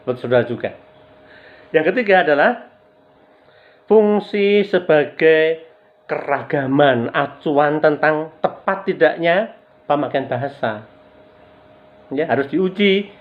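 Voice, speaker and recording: A middle-aged man from Java with a Javanese accent, giving a recorded university lecture in a measured way, stretching key words and often addressing his students directly. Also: medium pitch. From Java, Indonesia. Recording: dull lo-fi recording.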